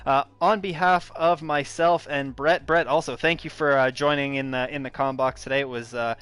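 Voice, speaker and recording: A male esports commentator closing out a racing broadcast. His voice is smooth and resonant, and he pads his lines with hesitant fillers as he thanks viewers. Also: mid-range voice, fairly even intonation, moderate pace, fairly bright tone. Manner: hesitant, filler-heavy, friendly sign-off delivery. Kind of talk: broadcast race commentary wrap-up.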